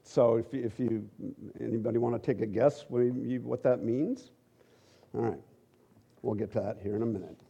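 A middle-aged man teaching at a church, relaxed and joking, with halting asides. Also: medium pitch, vocal fry, breathy edge, muffled recording. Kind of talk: conversational sermon.

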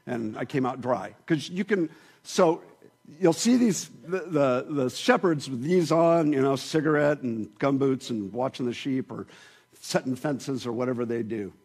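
A man preaching a sermon in a church, joking and asking the congregation questions in a lively way. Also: mid-range voice, breathy edge.

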